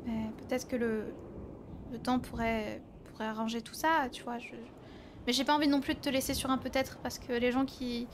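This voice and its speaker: A woman's voice: a little high, flat delivery, moderate pace, slight background noise, natural voice. A woman improvising as a candid, lovelorn young woman in a roleplay game, apologetic and often searching for words. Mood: gentle, apologetic. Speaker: roleplayer in an online game server. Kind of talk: improvised in-character conversation.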